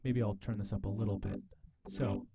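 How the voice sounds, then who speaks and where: A man teaching an informal online class, demonstrating software step by step and thinking aloud in a halting, tentative way. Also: on the low side, fairly even intonation, vocal fry, noisy background. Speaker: music professor teaching a class. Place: online video class, voice through a microphone. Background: electronic music and synth tones.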